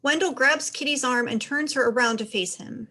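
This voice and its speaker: A woman's voice: high voice, normal speed, sharp tone. A woman giving scripted narration, reading out the stage directions of a play.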